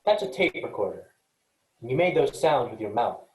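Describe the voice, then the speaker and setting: A man's voice: medium pitch, lively intonation, normal speed, darkish tone, natural voice. A man in a play reading, acting a matter-of-fact, unimpressed spaceship crewmate. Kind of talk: staged comedy play reading. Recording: echoey room sound.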